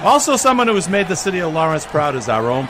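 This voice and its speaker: A man's voice: on the high side, sing-song, quick pace. A man giving earnest, detailed mock live parade commentary as the straight man of a broadcast team. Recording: noisy outdoor broadcast with crowd behind. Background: crowd and outdoor street noise.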